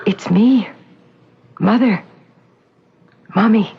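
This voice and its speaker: An actress playing a woman who poses as a patient's mother, speaking in a soft, coaxing, soothing way. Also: mid-range voice, drawn-out words, vocal fry, slightly rough, dull audio, full character voice. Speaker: visitor posing as the patient's mother.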